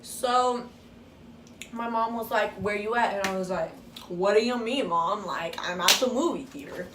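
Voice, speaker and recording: A woman's voice: mid-range voice, normal speed. A teenage girl casually telling a story, swearing freely and acting out what people said. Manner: casual, swears freely, acts out quoted dialogue. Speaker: vlogger. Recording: echoey room, distant mic, faint noise.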